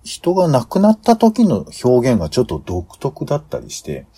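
Man with very animated, swinging intonation. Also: medium pitch, moderate pace, slightly rough. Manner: hesitant, searching for words as he talks. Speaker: podcast host. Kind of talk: casual planning discussion.

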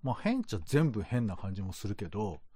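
Reflective man chatting with lively intonation. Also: fairly low pitch, a little fast, breathy edge, dry recording. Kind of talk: casual chat.

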